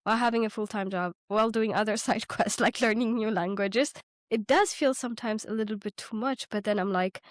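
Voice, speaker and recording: A woman's voice: mid-range voice, fairly quick, no room sound, clean studio sound. A young woman co-hosting a podcast, playful and upbeat, laughing often as she chats. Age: young adult. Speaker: podcast co-host. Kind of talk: casual interview chat.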